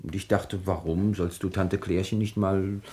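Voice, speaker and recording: A man with a fairly low voice acting as a defensive murder suspect in a 1960s radio crime play. He is evasive and sarcastic, brushing things off with scorn. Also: fairly even intonation, normal speed, mellow, lightly acted. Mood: explaining, evasive. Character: evasive, scornful male pharmacist suspected of killing his aunt.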